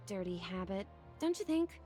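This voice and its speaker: An actress playing a sunny, clever, defiant young girl scientist in a video game, polite with a coy, teasing manner. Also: mid-range voice. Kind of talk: video game character dialogue. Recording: music behind the voice.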